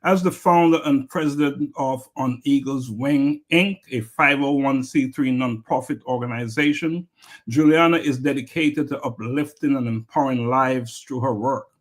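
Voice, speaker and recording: A man's voice: medium pitch, moderate pace. A man hosting an interview podcast in a plain, mid-range voice. He talks with upbeat emphasis, lifting his voice to welcome and prompt his guests. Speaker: podcast host.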